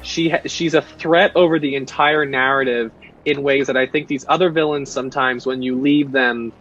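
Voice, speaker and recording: A man's voice: mid-range voice, steady tone, moderate pace, a bit bright, muffled recording, soft background music. A young man chatting as a guest, thoughtful and enthusiastic, often hedging with "um" and "I mean". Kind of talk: casual chat.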